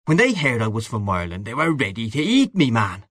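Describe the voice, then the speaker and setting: A man's voice: medium pitch, wildly swooping pitch, fairly quick, a bit bright, no room sound, clean studio sound, exaggerated voice. A man voicing a brash, worldly Irishman in an audiobook, boastful and hearty, patronising an old friend with emphatic delivery. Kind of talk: literary audiobook character dialogue.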